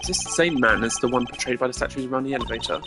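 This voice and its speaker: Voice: mid-range voice, rapid-fire, music in the background, natural voice. A streamer voicing a stern, proper male prosecutor from a video game, speaking formally and precisely with easy exasperation. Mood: deductive, formal. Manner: formal, precise, clipped and haughty.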